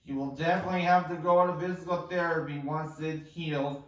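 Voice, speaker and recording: A man's voice: on the high side, fairly even intonation, a little fast, a bit bright, natural voice. A man reading the part of an earnest doctor at an amateur musical table read. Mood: serious. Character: earnest male doctor giving orders. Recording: distant mic in echoey room.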